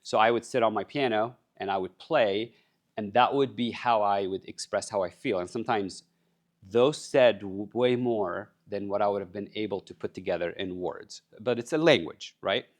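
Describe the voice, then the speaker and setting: Guy giving a conference talk, lowish voice, earnest, keeps asking the crowd stuff. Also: normal speed. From Egypt. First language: Arabic. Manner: earnest, addresses the crowd with questions. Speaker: conference speaker. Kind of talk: motivational talk to a live audience. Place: conference stage.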